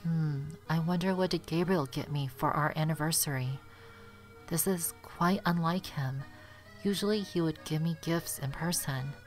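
A woman playing a gentle, kindly grown woman with a soft, reassuring manner in a cartoon dub. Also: sits low, moderate pace, faint music behind, lightly acted, low-quality recording. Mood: tender. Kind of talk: comic dub cartoon acting.

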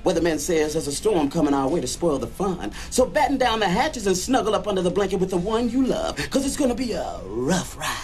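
An actor playing a smooth-talking male rock radio DJ in a video game, with showy, laid-back American patter. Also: high voice, animated, fairly quick, hardly any pauses, darkish tone, slight background noise.

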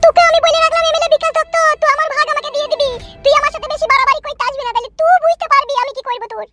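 A cartoon village wife with an extremely high, squeaky voice. She scolds and makes demands at a very fast clip, swearing freely, over loud music. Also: steady tone. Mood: threatening. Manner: scolding, foul-mouthed, demanding. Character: shrill, quarrelsome village wife demanding the house. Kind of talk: comedy cartoon dialogue.

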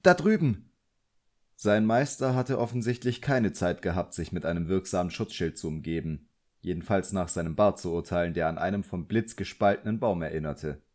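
A man's voice: low-pitched, brisk, darkish tone. A man reading a novel aloud as scripted audiobook narration.

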